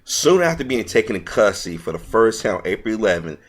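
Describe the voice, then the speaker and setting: A man with a fairly low voice delivers online news commentary. He reads aloud with some stumbles over the words, and his manner is earnest and opinionated. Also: sing-song, quick pace.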